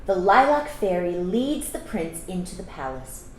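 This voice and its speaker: A woman reading a children's story aloud expressively, pausing to explain things to young listeners. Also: on the low side, big pitch swings, a little mellow. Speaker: storytime reader. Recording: echoey room with faint background noise.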